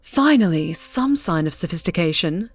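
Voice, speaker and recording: A woman's voice: fairly low pitch, lively intonation, normal speed. A woman voicing a haughty, discerning female gourmet in an audiobook, with a refined, cool manner. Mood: haughty relief. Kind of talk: audiobook character acting.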